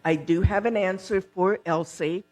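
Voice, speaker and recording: Woman explaining, with frequent pauses. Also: fairly low pitch, steady tone, darkish tone. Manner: explanatory, pausing often mid-sentence. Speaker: board candidate at a community forum. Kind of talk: impromptu informational remarks at a meeting.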